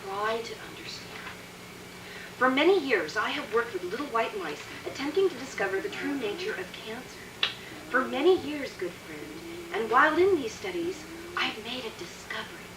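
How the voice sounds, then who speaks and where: A woman playing a solemn, fearful doctor in a radio drama, halting, dramatic and intense. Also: fairly high pitch, sing-song. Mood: solemn, hesitant. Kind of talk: theatrical radio drama acting. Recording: noisy, echoey, distant radio recording.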